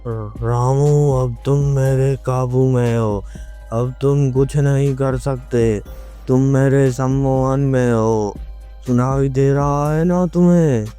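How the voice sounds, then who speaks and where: A man plays a menacing vampire demon for a horror cartoon. The voice is smooth and dark, issuing repeated taunts and orders at a measured pace. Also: medium pitch, steady tone, faint music behind, lightly acted. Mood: hypnotic command. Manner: commanding, repetitive, taunting. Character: menacing male blood-drinking demon who hypnotises and threatens. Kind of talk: animated horror story dialogue.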